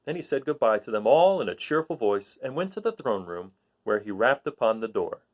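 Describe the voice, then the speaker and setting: A man reading a storybook aloud as an expressive narrator. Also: medium pitch, a little fast, lo-fi sound. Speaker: storybook reader.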